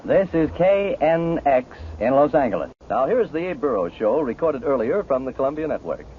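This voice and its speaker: Animated male announcer on muffled old radio. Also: medium pitch, moderate pace. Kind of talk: 1940s network radio announcement. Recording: narrow-band old radio, faint noise.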